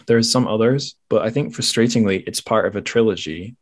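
A man's voice: lowish voice, a little fast. A man from Scotland hosting a podcast and chatting casually about books, often correcting himself as he goes. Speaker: podcast host. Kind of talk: casual book discussion.

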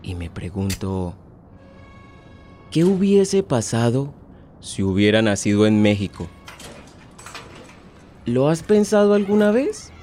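A man's voice: medium pitch, big pitch swings, slow, pauses often, dark tone, a little hiss or hum. An actor playing a troubled, compassionate policeman and father in a radio drama. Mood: troubled, reflective.

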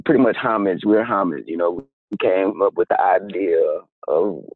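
A man's voice: low-pitched, normal speed, breathy edge, dry recording. A man from Dallas, a musician guest in a casual interview, talking in a laid-back, easygoing way. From Dallas, Texas. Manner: laid-back, easygoing, lots of "you know". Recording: tinny phone line.

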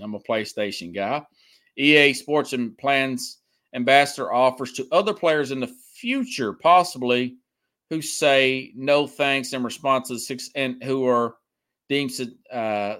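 A man's voice: mid-range voice, fairly bright tone. A man hosting a sports podcast solo, talking casually and hesitantly as he works through notes and comments on the news in a rambling way. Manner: rambling, hesitant, searching through notes, repeats himself for emphasis.

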